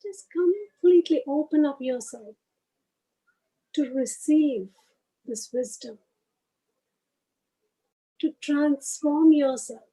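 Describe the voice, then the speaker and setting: A woman with a high, mellow voice leads a guided meditation. She speaks very slowly, in short phrases set apart by pauses. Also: low-quality recording.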